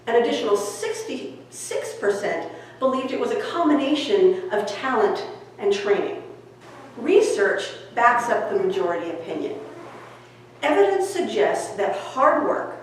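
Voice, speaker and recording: In a very reverberant room, a woman reads nonfiction from a little way off the mic. Her voice is fairly high and she phrases each point deliberately, like an author explaining her own book. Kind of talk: scripted audiobook-style reading of nonfiction. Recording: very echoey room, distant mic, background noise.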